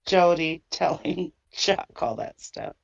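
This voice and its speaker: Female panelist, gravelly fry, informal chat, muffled lo-fi audio. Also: low-pitched, expressive, slow. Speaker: discussion panelist. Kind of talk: casual chat. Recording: dull lo-fi online call.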